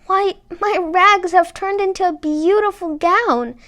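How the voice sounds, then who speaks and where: A woman's voice: extremely high pitch, measured pace, a bit bright, voice pushed up. A woman voicing a mistreated, wistful young fairy tale heroine in an earnest, exclamatory way. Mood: amazed. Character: young Cinderella, mistreated, wistful, wide-eyed and eager. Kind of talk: storybook character voice.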